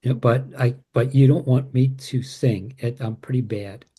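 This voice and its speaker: A man in a support group, speaking haltingly with restarts and laughing at himself. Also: medium pitch, fairly even intonation, warm tone, breathy edge.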